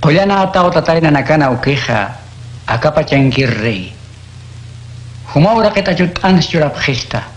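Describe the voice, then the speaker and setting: Un hombre interpreta a Jesús enseñando con calma y tono instructivo en un radioteatro cristiano. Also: male voice, a little high, a little slow, long pauses. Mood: teaching. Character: Jesus, calm adult male teacher. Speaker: voice actor. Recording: echoey room.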